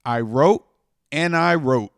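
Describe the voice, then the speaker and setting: Male storytime reader, higher-pitched voice, highly expressive picture book delivery, dry close sound. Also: clear, resonant tone, dry recording. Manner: expressive, dramatic emphasis with drawn-out words. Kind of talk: animated children's picture book read-aloud.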